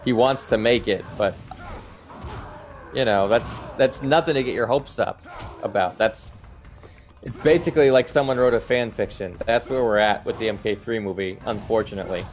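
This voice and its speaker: A man's voice: lowish voice, normal speed. Casual male streamer, music behind him. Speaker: live stream host. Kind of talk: casual chat answering viewer comments. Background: background music.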